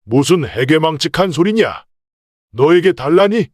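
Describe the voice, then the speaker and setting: A man's voice: fairly high pitch, expressive, unhurried, no echo, clean studio sound. A man playing a shrewd, proud merchant father in an old folktale, with old-fashioned, authoritative speech. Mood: stern, suspicious. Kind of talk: acted folktale dialogue.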